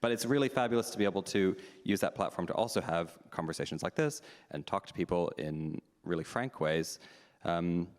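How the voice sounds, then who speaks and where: A man with a very low voice hosts a live panel, answering questions frankly and candidly. He thinks aloud as he goes. The sound carries a long reverb from a large hall. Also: lively intonation, a little fast, hardly any pauses. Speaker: panel host, drag performer. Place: meeting house hall.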